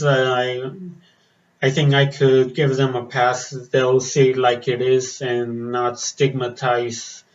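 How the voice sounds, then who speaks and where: A man reacting in a casual vlog, slightly raspy from the cold, pausing often with fillers and restarts. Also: mid-range voice, highs cut off. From Spain. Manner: hesitant, many fillers and restarts. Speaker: metal vlogger. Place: outdoors in the cold.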